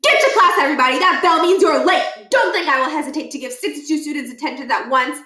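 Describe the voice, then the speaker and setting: A woman's voice: high-pitched, quick pace, bright, forceful tone, roomy sound, far from the mic, pitched above the performer's own voice. A woman reading a storybook as a blustering, suspicious male school principal, pompous and officious in manner.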